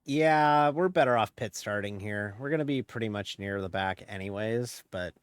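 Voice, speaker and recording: Low, dry-voiced man chatting while racing. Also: moderate pace, clear, resonant tone, no echo. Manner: chatty, rambling, chuckles often, self-mocking. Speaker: sim racing streamer. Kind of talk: casual live-stream commentary while racing.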